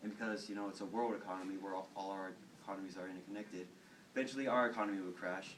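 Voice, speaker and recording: Teenage boy, low voice, rambling with fillers, heard from a distance with faint noise. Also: fairly even intonation, a bit bright. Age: teens. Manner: rambling, halting, with fillers and repeated words. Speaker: student storyteller. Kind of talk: casual informal talk to classmates.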